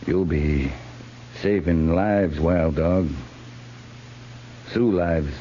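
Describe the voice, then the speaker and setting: An actor playing a steady, dry cavalry captain in a 1950s radio western drama. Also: male voice, deep voice, drawn-out words. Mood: grave persuasion. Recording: muffled, hissy 1950s radio recording.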